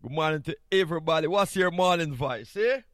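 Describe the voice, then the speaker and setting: A man's voice: fairly high pitch, lively intonation, fairly quick, fairly bright tone, dry recording, studio quality. A man with a Jamaican accent, sitting in as a morning radio host, chatting jovially with chuckles and repeated greetings. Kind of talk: casual morning radio chat.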